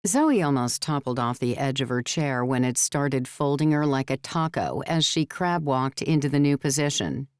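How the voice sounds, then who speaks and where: A woman's voice: extremely low pitch, expressive, normal speed, few pauses, smooth, no room sound, studio quality. A woman reading scripted story narration as an audiobook narrator.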